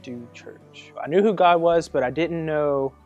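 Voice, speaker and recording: A man in his mid-twenties giving a halting personal testimony. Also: on the high side, a little mellow, highs cut off, faint music behind. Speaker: church member giving testimony.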